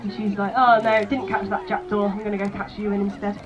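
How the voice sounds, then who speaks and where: A woman's voice: medium pitch, flat delivery, a little fast. A woman giving live commentary as a falconry presenter at an outdoor display. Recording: crowd and outdoor noise, filmed from audience.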